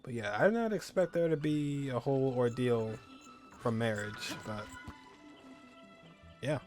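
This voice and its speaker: Man, gaming host, medium pitch, casual commentary, halting repetitive phrasing, laid-back, faint background music. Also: darkish tone. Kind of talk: casual let's-play commentary.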